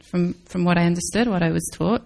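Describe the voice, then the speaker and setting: A woman's voice: lowish voice, fairly quick, vocal fry. A woman Zen teacher answering audience questions in a thoughtful, searching way. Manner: thoughtful, searching, with restarts and soft laughs. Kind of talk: dharma talk Q&A answers.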